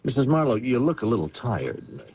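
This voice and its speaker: An actor playing a courteous, patient police lieutenant in an old-time radio drama, speaking haltingly as he mulls things over. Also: mid-range voice, very animated, husky, muffled recording. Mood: careful, concerned. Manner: halting, mulling aloud, polite.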